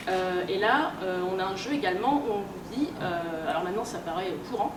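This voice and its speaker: A woman's voice: mid-range voice, fairly quick, far from the mic. A woman giving an informal public lecture in a conversational, hesitant way. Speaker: conference speaker, game publisher and researcher. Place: convention talk room, indoors. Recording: echoey room from afar, strong background noise.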